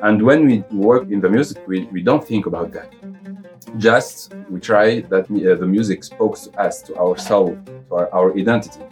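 A man with a Tunisian accent speaking halting non-native English in a casual interview as a musician. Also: fairly low pitch, a little mellow, soft background music. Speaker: musician interviewee, oud player and violinist.

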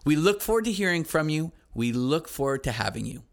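A man's voice: a little high, very animated, a little fast, creaky. A man hosting a podcast, chatting in an upbeat, enthusiastic way with his guest. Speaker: podcast host. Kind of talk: casual interview chat.

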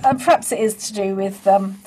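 A woman's voice: medium pitch, brisk, a little mellow, husky, poor audio. A woman hosting a casual interview, chatting and telling stories as a fellow stage performer. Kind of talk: casual chat and storytelling.

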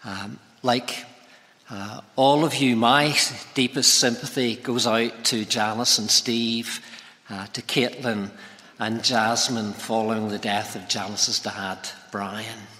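A man preaching a sermon as a guest speaker, with a measured, deliberate delivery and emphatic phrasing. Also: fairly low pitch, steady tone, bright, forceful tone. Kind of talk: sermon with prayer. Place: large reverberant church hall. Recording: long hall reverb.

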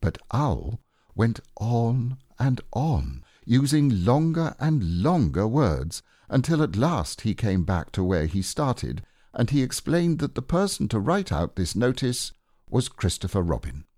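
A man's voice: mid-range voice, sing-song, measured pace, warm tone, no room sound, studio quality. A man narrating a children's story expressively as an audiobook reader.

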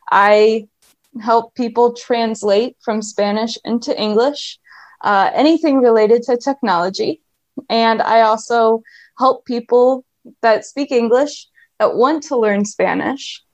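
A woman's voice: a little high, a little mellow, no room sound, muffled recording. A woman being interviewed about her work. She explains in articulate, flowing lists. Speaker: guest, language business owner. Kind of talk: conversational interview.

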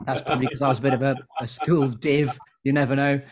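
A man's voice: medium pitch, level tone, fast talker. A man hosting an interview show in an effusive, playful way full of wordplay. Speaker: podcast host. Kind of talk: interview with a scripted-sounding show intro.

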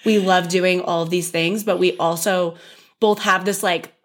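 A young woman co-hosting a chatty podcast, her voice hoarse and worn from losing it. She talks with eager enthusiasm in a slightly low register, tagging her points with "right?". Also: fairly even intonation, unhurried. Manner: enthusiastic, says "right?" a lot. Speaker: podcast co-host. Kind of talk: casual chat.

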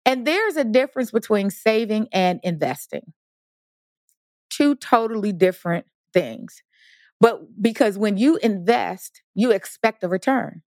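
A woman's voice: medium pitch, expressive, unhurried, darkish tone, no echo, studio quality. A middle-aged woman hosting a motivational podcast, talking emphatically and asking her listeners rhetorical questions. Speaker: podcast host.

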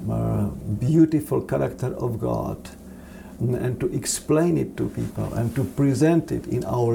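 A man with a Czech accent answering interview questions on theology in a halting, earnest, preacherly manner. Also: mid-range voice, creaky, dark tone, slight background noise. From Czech Republic. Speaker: seminary dean, interview guest.

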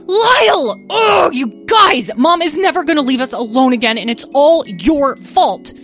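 A woman's voice: sits high, expressive, a little slow, a bit bright, soft background music, voice pushed up. A woman voicing a young bossy female golden dragon in charge of her siblings, scolding and exasperated.

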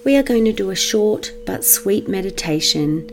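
A woman leading a guided meditation for children in a gentle, measured manner with long pauses. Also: medium pitch, expressive, slight background noise, rough recording.

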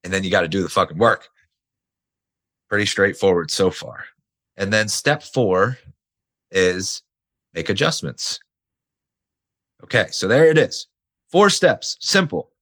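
A man, hoarse and nearly losing his voice, hosting a casual solo podcast and stressing his points with the odd swear. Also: fairly low pitch, animated, a little slow, pauses often, a bit bright. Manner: casual, emphatic, swears now and then. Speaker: podcast host.

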